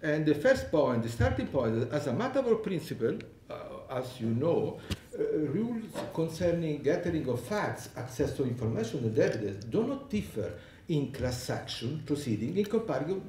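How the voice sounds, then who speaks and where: Italian man with a lively, halting delivery. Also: a little high, a little hiss or hum. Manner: halting, many restarts and fillers. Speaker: panel speaker on law. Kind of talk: academic conference talk. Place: lecture hall. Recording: echoey hall, distant mic.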